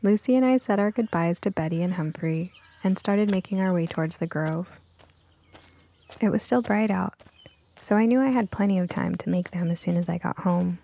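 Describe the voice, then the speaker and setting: A woman reading scripted first-person story narration for an audiobook in an expressive storytelling manner. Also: on the low side, normal speed, creaky.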